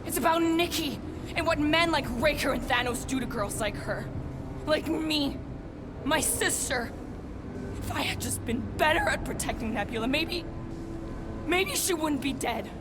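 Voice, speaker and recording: A woman's voice: sits high, lively intonation, unhurried, noisy background. A woman playing a deadly, guarded alien assassin in a video game, intense and bitter, with a terse, dryly sarcastic delivery. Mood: angry, anguished. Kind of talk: dramatic video game acting. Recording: music behind the voice.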